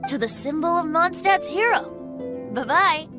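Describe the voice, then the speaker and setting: A woman's voice: very high voice, lively intonation, a little slow, loud music behind the voice. A woman voicing a youthful, whimsical boy bard in an anime game dub, with a lilting, theatrical, teasing manner. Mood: lighthearted, theatrical.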